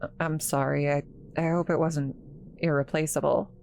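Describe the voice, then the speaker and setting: A woman playing an awkward, kind scientist in a roleplay audio drama, halting and apologetic, stammering as she talks to the listener. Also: low-pitched, level tone, creaky, darkish tone, slight background noise. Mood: apologetic, flustered.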